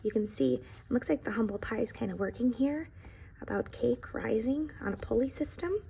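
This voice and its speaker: Female teacher for children's read-aloud: fairly high voice, husky edge, expressive, instructive delivery. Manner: expressive, instructive, addresses young listeners directly. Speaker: teacher reading aloud to students. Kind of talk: picture book read-aloud for young children with explanatory asides.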